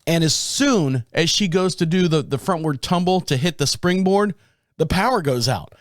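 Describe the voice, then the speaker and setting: Slightly raspy man hosting a podcast. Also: animated, moderate pace, no room sound, studio quality. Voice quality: deep. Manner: rambling, self-interrupting storyteller. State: slightly raspy, sore throat. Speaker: podcast host. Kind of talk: casual solo podcast talk. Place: small podcast studio. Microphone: studio microphone.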